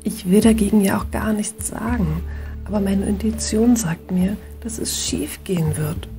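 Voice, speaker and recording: A mellow, dark-toned female ghost, played by a woman in an audio drama. She can be hesitant or blunt, and faint music runs behind her. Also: mid-range voice, normal speed, lightly acted. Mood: unsure. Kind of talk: German audio drama acting.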